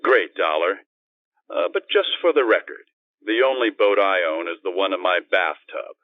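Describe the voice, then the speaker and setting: A man's voice: low voice, animated, normal speed, no room sound. A man playing a jaunty, teasing private detective in an old-time radio drama, heard through a telephone filter. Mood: breezy. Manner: playful, teasing. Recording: thin, dry phone-line sound.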